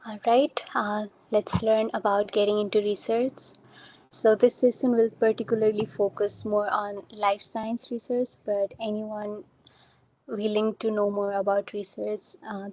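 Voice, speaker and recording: A woman's voice: mid-range voice, flat delivery, measured pace, warm tone, slight background noise, low-quality recording. A young woman from Nepal giving an online talk, explaining things step by step with simple examples. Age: young adult. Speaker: student researcher giving a talk. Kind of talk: online presentation. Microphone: computer mic on a video call.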